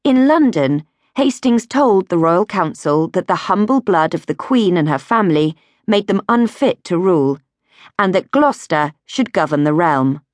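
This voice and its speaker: A woman reading a history audiobook as a scripted narration, in a measured, clearly phrased storytelling manner. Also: lowish voice, animated, no room sound. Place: recording studio.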